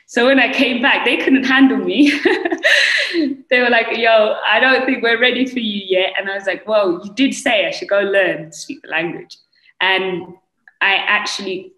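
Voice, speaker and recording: A young woman with a strong British accent, telling her story as a panelist on a video call, animated and full of "you know"s. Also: on the low side, roomy sound, rough recording. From United Kingdom. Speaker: panelist, entrepreneur. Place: small room indoors. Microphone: earphone mic on a video call.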